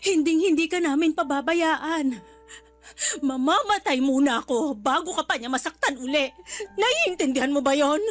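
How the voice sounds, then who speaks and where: A woman's voice: high-pitched, animated, normal speed, faint music behind. A woman with a Filipino accent acting a protective, tearful mother in a radio drama. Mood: fiercely protective.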